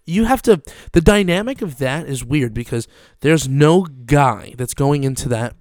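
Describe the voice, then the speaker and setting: A man's voice: on the high side, expressive, darkish tone, no room sound, clean studio sound. A man in his late twenties chatting casually on a podcast, full of restarts and swearing. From Cuban heritage. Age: late 20s. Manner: halting restarts, swears often, laughs easily. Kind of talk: casual profane banter.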